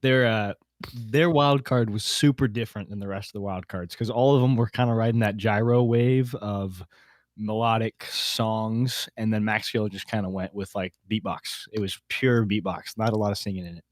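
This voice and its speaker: Male podcast host, fairly low pitch, dry sound, jokey, self-mocking, informal slang. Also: steady tone, normal speed, no room sound. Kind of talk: casual podcast chat.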